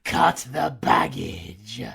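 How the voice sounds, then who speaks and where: A sadistic British werewolf villain for an audio roleplay. His delivery is very slow and savoring, lurching across a huge range of pitch from hushed whispers to manic glee. He is possessive and theatrical, relishing every endearment. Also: big pitch swings, vocal fry, husky, exaggerated voice. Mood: possessive, coaxing. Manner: theatrical, purring endearments, breaking into laughter and whispers.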